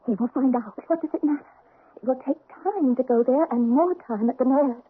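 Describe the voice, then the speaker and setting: An actress playing a desperate, scheming young countess, imperious yet fragile, in an old radio drama. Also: female voice, sits high, brisk, breathy edge. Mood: anxious, excited. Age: young adult. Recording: tinny, faintly noisy old radio recording.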